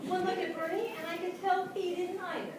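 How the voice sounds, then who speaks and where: A woman in a staged reading narrating as a naive, earnest dog, with expressive comic timing. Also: extremely high pitch, fairly quick. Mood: earnest, droll. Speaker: narrator in reader's theatre. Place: small theatre stage before an audience. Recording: very echoey theatre, distant, noisy.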